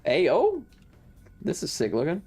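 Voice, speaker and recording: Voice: medium pitch, huge pitch swings, takes it slowly, a little mellow, breathy edge, faint music behind. A streamer giving casual live commentary on a video game in an irreverent, sweary, rambling way.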